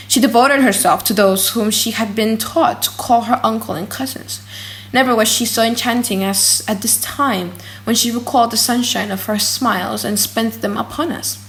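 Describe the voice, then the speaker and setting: Casting: female audiobook narrator, higher voice, expressive and theatrical delivery for classic gothic fiction. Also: poor audio. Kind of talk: dramatic, slightly silly audiobook reading of a gothic novel.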